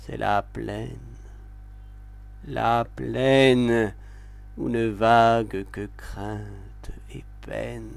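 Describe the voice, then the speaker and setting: A man recites verse at a very slow, deliberate pace. His voice is clean and resonant, recorded close and dry. The delivery is solemn and expressive, like a literary poetry reading. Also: mid-range voice, vocal fry, no echo. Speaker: poetry reader.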